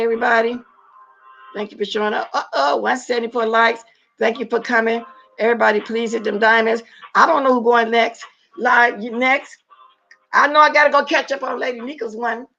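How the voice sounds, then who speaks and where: A gravelly, raspy middle-aged woman chats to her livestream viewers in a blunt, opinionated way. Her voice has a lot of fry. The audio is dull and lo-fi. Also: on the high side, a bit bright. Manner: blunt, opinionated, talks straight to her chat. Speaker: livestream host. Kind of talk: casual livestream chat.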